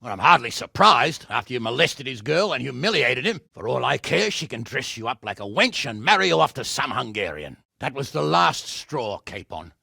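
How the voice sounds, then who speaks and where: A man playing a gruff, blunt, hot-tempered middle-aged medieval nobleman in a video game, commanding and scolding in a gravelly, husky voice. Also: medium pitch, sing-song, normal speed, hardly any pauses, sharp tone, dry recording, clean studio sound, heavily acted. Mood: stern, reproachful. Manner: blustering, scolding, swearing, commanding.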